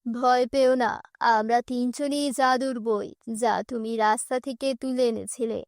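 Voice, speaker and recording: Woman voicing a calm, solemn talking magic book. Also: fairly high pitch, a little slow, no echo, studio quality. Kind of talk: children's fairy-tale cartoon.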